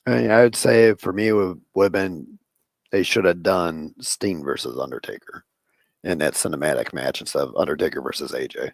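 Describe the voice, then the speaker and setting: A man co-hosting a casual wrestling podcast, opinionated and quick with jokey asides. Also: sits low, gravelly, no echo. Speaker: podcast co-host.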